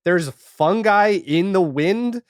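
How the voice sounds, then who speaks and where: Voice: medium pitch, expressive, slow pace, no room sound, clean studio sound. A podcast guest chatting casually and candidly about their own experiences, with wry, self-deprecating asides. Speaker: podcast guest, audio fiction creator. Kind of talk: casual interview chat.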